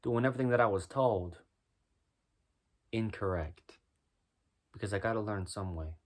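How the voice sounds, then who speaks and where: A man with a deep voice doing a rhyming spoken-word freestyle, musing and preaching as he goes. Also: moderate pace. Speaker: freestyle musician.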